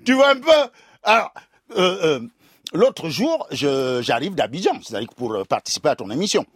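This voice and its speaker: A man's voice: on the high side, moderate pace, husky, no room sound, clean studio sound. An older man from Cameroon, telling a comic tale as a guest storyteller in a theatrical way and calling out to the host. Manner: theatrical, animated, addresses the host directly.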